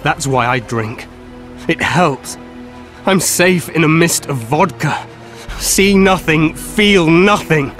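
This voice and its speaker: An actor playing a mortally wounded elf seer in a video game, haunted and mournful, speaking weakly and haltingly. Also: male voice, a little high, fairly bright tone, faint music behind. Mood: anguished. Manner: slow, halting, labored. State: weak from fatal wounds, as acted.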